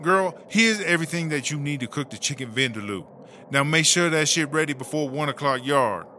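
Brief: male actor as bossy, playful woman inmate, high-pitched for a man, slow sassy drawl, noisy ambience. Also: lively intonation, sharp tone. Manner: slangy, drawling, exclamatory, sassy. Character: sassy, loud, bossy female prisoner Chartreuse, playful and streetwise. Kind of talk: comedy audio drama acting.

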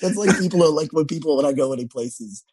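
A man's voice: a little high, darkish tone, husky, dry recording, studio quality. A young man from Texas, a comedian telling rambling stories in a casual podcast chat. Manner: rambling storyteller, quick and loose. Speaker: comedian guest.

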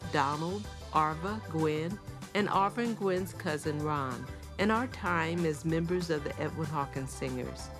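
Low-voiced woman narrating over music. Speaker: podcast co-host. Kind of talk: scripted narration. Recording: music playing underneath.

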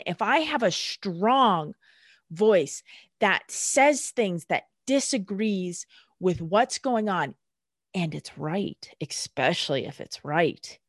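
A woman's voice: medium pitch, dry recording, clean studio sound. A woman guest speaking candidly in casual conversation, blunt and emphatic, swearing freely. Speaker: podcast guest.

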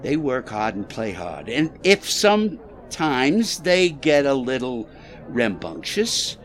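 Actor as swaggering, combative Chicago club boss. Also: male voice, medium pitch, sing-song, slow pace, a little hiss or hum. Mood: boastful, defensive. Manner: streetwise, combative, swinging between bluster and feigned politeness. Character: defensive tough male Chicago athletic club boss, slippery and proud. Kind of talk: audio drama period dialogue.

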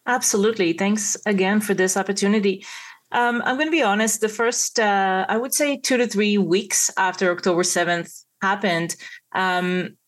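A woman's voice: mid-range voice, fairly even intonation, moderate pace. A middle-aged woman from Israel, a guest on a podcast interview who speaks earnestly, with frequent hesitant fillers.

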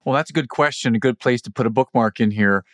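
A man's voice: mid-range voice, quick pace, a bit bright, dry recording. Male podcast host, dry close sound. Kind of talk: casual interview talk.

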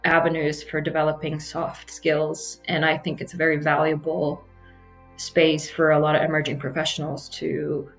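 A woman in her thirties, from Iraq and raised in Abu Dhabi, telling her career story in a measured, reflective way. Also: low voice, poor audio. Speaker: architect interviewee. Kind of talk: personal testimonial.

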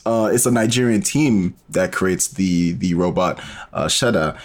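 A man's voice: deep voice, unhurried. A man speaking as an interview guest, explaining his storytelling in a casual, informal way and thinking aloud as he goes.

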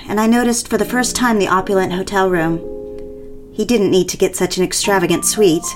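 Woman playing compliant lovestruck mistress, confessional drama narration, middling pitch, music behind. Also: lightly acted. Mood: reflective. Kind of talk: dramatic first-person narration and dialogue.

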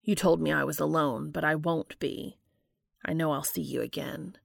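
Female reader playing tender man, a doctor, quiet low rumble with fry, measured and firm, audiobook romance. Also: no echo, clean studio sound, exaggerated voice. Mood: sad, firm. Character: gentle, loving male doctor, sad but firm.

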